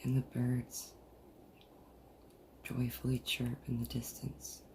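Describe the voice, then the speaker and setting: Meditation leader, gravelly fry, flat gentle delivery, soothing long pauses, slightly noisy video-call audio. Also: mid-range voice. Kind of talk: guided meditation.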